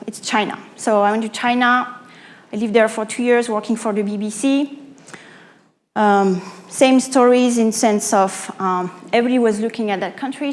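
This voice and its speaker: A woman from France with a French accent giving a live talk as an author and coach, explaining through rhetorical questions with pauses and self-corrections. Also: fairly high pitch. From Normandy, France. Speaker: speaker, author and pitch coach. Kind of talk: live public talk to an audience.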